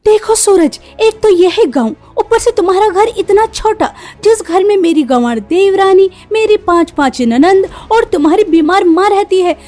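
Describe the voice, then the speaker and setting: A snobbish young city wife from a cartoon family tale, acted out by a woman. Her voice is very high yet mellow, and she talks in an insistent, complaining way, looking down on village life. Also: animated, moderate pace, few pauses. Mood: haughty complaining. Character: haughty modern city-bred daughter-in-law, young woman. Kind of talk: Hindi cartoon story voice acting.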